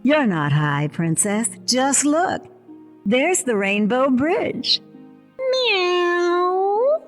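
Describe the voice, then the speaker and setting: Worldly, sassy she-cat played by a woman, arch and drawling, unhurried, theatrical audio play style. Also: high voice, sing-song, faint music behind, full character voice. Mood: dry, teasing. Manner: arch, drawling, calls people darling.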